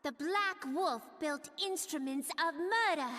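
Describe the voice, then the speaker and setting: An actress playing a gentle young queen in a fantasy video game, earnest and resolute, speaking with formal composure. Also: high voice, slow pace. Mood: indignant, solemn. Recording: long hall reverb.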